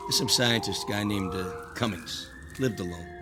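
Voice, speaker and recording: A man's voice: on the low side, measured pace, noisy background, close to an everyday voice. An actor playing a matter-of-fact fireman in a radio drama. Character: matter-of-fact fireman surveying a burned-out ruin.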